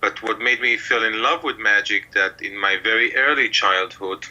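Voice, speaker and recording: A man's voice: lowish voice, normal speed, sharp tone, muffled recording. A middle-aged man from Israel, a mentalist telling his story in an interview, rambling with many fillers. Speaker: mentalist guest. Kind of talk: relaxed interview talk.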